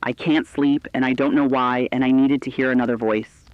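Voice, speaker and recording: A man's voice: mid-range voice, fairly even intonation, moderate pace, no room sound, muffled recording, natural voice. A man playing a reassuring, compassionate news co-anchor, earnestly consoling listeners in a scripted broadcast. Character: reassuring, compassionate co-anchor comforting frightened audience.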